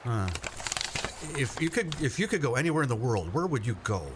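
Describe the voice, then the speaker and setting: A man's voice: medium pitch, sing-song, fairly quick, close to an everyday voice. A man playing an awkward, well-meaning family fisherman in a radio play, hesitant and plainspoken, over noisy ambience. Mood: awkward, trying. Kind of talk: naturalistic radio play acting. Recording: noisy ambience with vehicle sounds.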